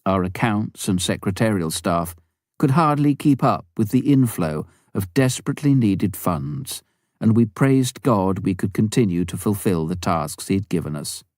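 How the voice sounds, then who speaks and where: A man with a fairly low, very mellow voice narrates a memoir. His reading is measured and clearly phrased, in the scripted style of an audiobook. The sound is dry and close, with little room around it. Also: lively intonation, no echo.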